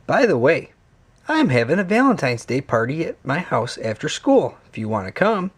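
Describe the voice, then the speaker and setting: A man voicing a friendly, encouraging young female cat from a children's storybook, upbeat and expressive. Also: a little high, moderate pace, breathy edge.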